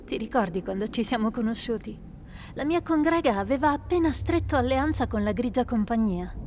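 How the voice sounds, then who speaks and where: A woman's voice: on the high side, expressive, a little fast, darkish tone, a little hiss or hum. A woman playing a wry witch. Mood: earnest. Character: sharp-witted young witch, wry adventurer. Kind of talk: amateur radio drama acting.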